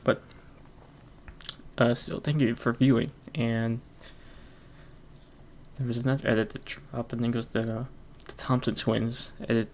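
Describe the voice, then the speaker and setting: Gravelly-voiced guy rambling casually. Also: medium pitch, little pitch movement, a little slow, pauses often, slight background noise. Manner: rambling, heavy on fillers, chuckles often. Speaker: podcast host. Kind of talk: casual solo podcast chat.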